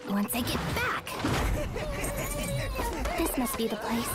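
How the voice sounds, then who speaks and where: A woman's voice: fairly high pitch, sing-song, takes it slowly, loud music behind the voice, a loud crowd. A woman voicing a girlish robot policewoman with an old soul, poetic and self-assured, in a game trailer. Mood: composed.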